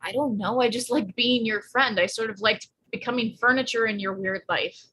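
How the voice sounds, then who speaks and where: A woman's voice: on the high side, moderate pace, sharp tone, slight background noise, rough recording. A woman playing a blunt, slangy, sarcastic sixteen-year-old pregnant girl in a live screenplay read, earnest underneath. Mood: uneasy, earnest. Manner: slangy, flippant, emphatic.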